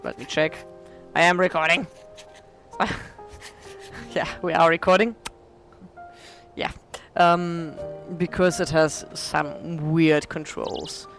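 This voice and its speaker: A hoarse woman casually rambling through gameplay commentary as a let's play creator, self-deprecating with many fillers. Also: low voice, steady tone, takes it slowly, pauses often, a bit bright, soft background music.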